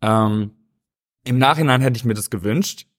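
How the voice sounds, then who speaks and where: A man from Stuttgart with a Swabian accent, chatting easily as an interview guest and often pausing with hesitations. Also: mid-range voice. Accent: Swabian German. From Stuttgart, Germany. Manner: easygoing, rambling, many hesitations. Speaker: interview guest, dancer and choreographer. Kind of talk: casual interview chat.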